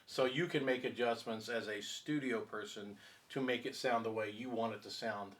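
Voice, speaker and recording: A man's voice: mid-range voice, fairly even intonation, moderate pace, fairly bright tone. Male expert co-host, mid-range voice. Conversational explainer, emphatic, circles back to main points. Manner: emphatic, repeats key points. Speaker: audio reviewer and speaker designer, co-host.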